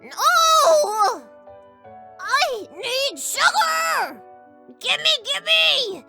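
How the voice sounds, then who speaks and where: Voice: very high voice, very animated, over music, exaggerated voice. A performer voicing a small, demanding little boy with a childish way of talking, in an audio horror story. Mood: screaming, greedy. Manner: shrieking demands, then slow sweet politeness.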